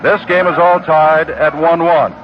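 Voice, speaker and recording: A man giving a steady, informative recap of the game situation on a 1969 radio baseball broadcast. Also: on the high side, a little slow, dull audio, background noise. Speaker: radio announcer.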